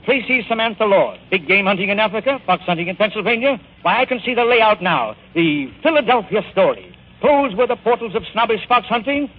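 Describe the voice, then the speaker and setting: A man playing a pompous, bombastic, domineering magazine publisher in 1940s radio style, grandiose and brisk. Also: sits high, animated, breathy edge. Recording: scratchy, tinny 1940s radio broadcast.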